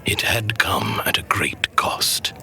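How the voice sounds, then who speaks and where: An actor playing a solemn storyteller who recounts an ancient fantasy legend in a breathy, hushed voice. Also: male voice, slow, whispered. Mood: gentle, grave. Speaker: narrator.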